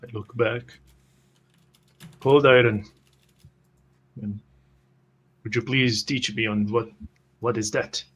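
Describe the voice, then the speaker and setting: Even-toned man playing a tabletop role-playing game. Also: medium pitch, slow, long pauses, slightly rough. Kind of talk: describing his character's actions in improvised play.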